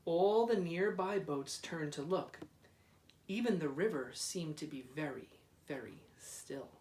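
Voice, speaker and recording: A man reading a children's storybook aloud in a deliberate, dramatic way, drawing out repeated words. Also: on the high side, lively intonation, a little mellow, slight background noise. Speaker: school principal reading aloud. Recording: roomy echo, distant microphone.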